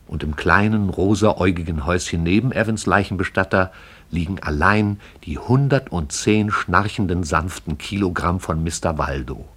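Deep, husky male narrator. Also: fairly bright tone. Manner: lyrical, measured. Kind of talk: poetic radio drama narration.

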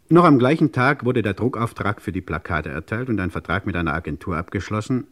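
A man playing a resolute, impatient French police inspector narrating his case in an old German radio crime drama, emphatic and insistent. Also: deep voice. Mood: sober narration. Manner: emphatic, insistent, clipped orders. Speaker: detective narrator.

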